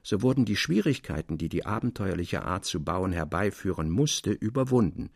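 A man narrating a classic literary tale in a measured, articulate way with expressive emphasis. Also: deep voice, gravelly, husky, no room sound, clean studio sound.

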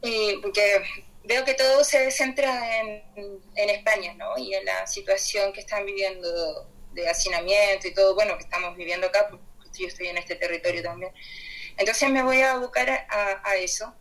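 A woman's voice: mid-range voice, steady tone, normal speed, a bit bright, rough recording. A woman with a Chilean accent speaking spontaneously in an online group debate, hesitant and searching for her words. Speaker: doctoral student and care worker speaking as participant. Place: online video call.

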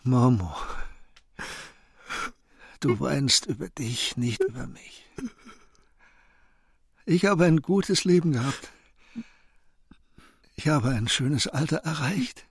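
An older actor playing a serene, wise old shopkeeper in a radio drama, breathy, tender and philosophical. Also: male voice, mid-range voice, lively intonation, slow pace, long pauses, no room sound, clean studio sound, exaggerated voice. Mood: serene, weakened. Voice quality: husky, breathy.

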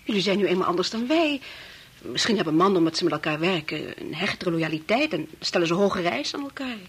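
A woman's voice: on the low side, sing-song, fast, slightly rough, full character voice. An actress with a Netherlands Dutch accent playing a thoughtful, understanding woman who speaks reflectively in a radio drama. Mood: reflective, earnest. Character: thoughtful, understanding woman, researcher's daughter-in-law.

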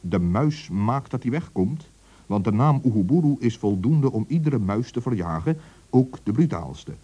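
A man narrating a children's radio story in a lively, expressive way. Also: mid-range voice, normal speed, breathy edge, lo-fi sound.

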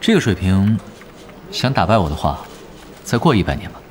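A man's voice: on the low side, sing-song, normal speed. An actor playing a laid-back, cheeky young male gamer who is offhand and blunt, in an audio drama. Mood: cool, critical. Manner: offhand, hesitant mumbles turning blunt.

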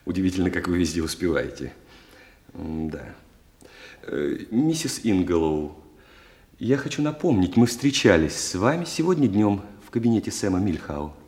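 An actor playing an insinuating, mock-polite playwright in a radio play, with hesitant hums and repeated phrases. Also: male voice, deep voice, expressive, normal speed, a little mellow. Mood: oily politeness. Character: insinuating, oily male playwright peddling his play. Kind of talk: Russian radio play acting. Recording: echoey hall, long reverb.

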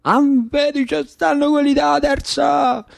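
A man voicing a bossy, cocky schoolboy with a Roman accent in a radio monologue, using loud street slang. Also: very high voice, little pitch movement, measured pace, creaky, pitched above the performer's own voice, full character voice. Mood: bossy, excited. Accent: Roman Italian. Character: rowdy, bossy schoolboy rounding up a football team. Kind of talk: radio monologue character acting.

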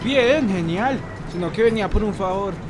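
A man's voice: high voice, animated, moderate pace, music in the background. A man playing a stubborn, lovestruck, broke young man in a radio soap, talking colloquially with a Bogotá accent. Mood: sheepish. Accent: Colombian, Bogotá. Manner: colloquial, insistent. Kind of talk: amateur radio soap opera acting. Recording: clearly noisy, urban ambience.

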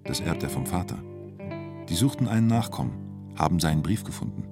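Low-voiced male documentary narrator. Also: expressive, fairly quick, over loud music.

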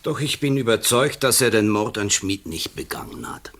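An intellectual writer with a deep voice. He philosophises slowly and emphatically, brooding and faintly malicious, in radio play style. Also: animated, fairly bright tone. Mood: brutal, fascinated.

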